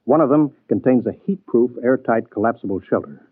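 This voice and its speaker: An actor playing a genial, matter-of-fact rocket program chief with chummy authority in a 1950s radio drama. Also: male voice, mid-range voice, expressive, brisk, dry recording, close to an everyday voice. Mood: brisk, genial. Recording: muffled, narrow 1950s radio broadcast.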